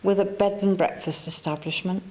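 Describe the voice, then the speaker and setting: A woman's voice: medium pitch, steady tone, normal speed, background noise. A woman working as a medium, talking to a church audience in a chatty, coaxing way that circles back and repeats itself. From England. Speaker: spiritualist medium. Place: church hall.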